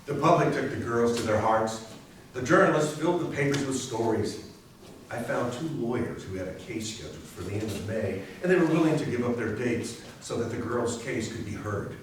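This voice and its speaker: A man's voice: mid-range voice, expressive, moderate pace, close to an everyday voice. A man playing a lawyer who recounts a court case in a staged readers theater narration. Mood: earnest, measured. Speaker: community theatre performer. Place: library readers theater performance, indoors. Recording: very echoey hall, distant, faint hiss.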